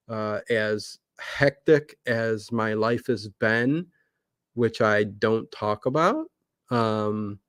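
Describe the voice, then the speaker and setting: A man's voice: mid-range voice, dry recording, studio quality. A man talking casually as a guest on a livestream interview, rambling and restarting his sentences. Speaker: guest, online old-time radio channel creator.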